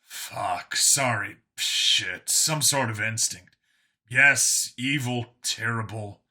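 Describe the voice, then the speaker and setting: A man's voice: mid-range voice, slow, bright, forceful tone, heavily acted. A man voicing a world-weary clone cop in an audio story, burdened by guilt and explaining things matter-of-factly. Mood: sheepish, earnest.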